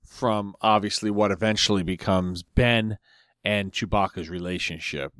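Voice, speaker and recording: A man's voice: lowish voice, steady tone, normal speed, fairly bright tone, smooth, no room sound, clean studio sound. A man co-hosting a casual fan podcast, tentatively wondering aloud as he comments. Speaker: podcast co-host.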